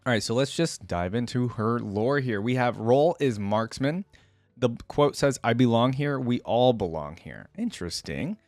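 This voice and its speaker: A man's voice: medium pitch, no room sound, clean studio sound. A man hosting a reaction video, commenting casually and reading written lore aloud. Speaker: YouTube reaction host.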